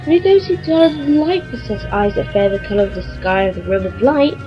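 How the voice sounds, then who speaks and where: A woman's voice: mid-range voice, animated, a little fast, natural voice. A woman playing an anxious, regal young ruler of a twilight realm in a fantasy radio play, speaking in a formal, musing, theatrical way. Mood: puzzled. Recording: dull lo-fi home recording. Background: music.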